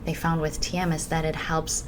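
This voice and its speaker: A woman's voice: deep voice, flat delivery, normal speed, a little hiss or hum. A woman who teaches meditation, talking in a flowing, casual way that is full of filler words. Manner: flowing, with many "you know" and "like" fillers. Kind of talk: casual podcast conversation.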